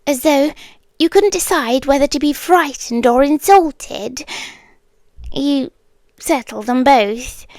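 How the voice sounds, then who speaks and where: A British woman playing an obsessive female monster in an intimate ASMR roleplay, speaking in measured, formal phrases that often drop into whispers. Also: high voice, a little mellow, dry recording, heavily acted. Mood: wry, intimate. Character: obsessive yandere female monster with tail, claws and venom.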